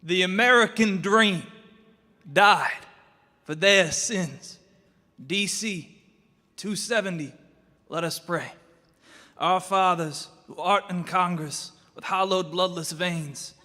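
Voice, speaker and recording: Bright, high-voiced man slam poet. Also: takes it slowly, pauses often, in a large hall. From Washington, D.C. area. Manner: punchy, wordplay-heavy, emphatic delivery. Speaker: slam poetry finalist. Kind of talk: slam poetry performance.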